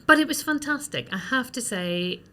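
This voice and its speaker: A Scottish woman in her forties, a guest telling her story in a relaxed, chatty way with many ums. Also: a little high, normal speed. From Scotland.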